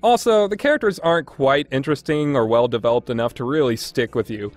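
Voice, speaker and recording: A man's voice: medium pitch, brisk, no echo, faint music behind. A man delivering a scripted review narration in an opinionated, emphatic way. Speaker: reviewer, list host.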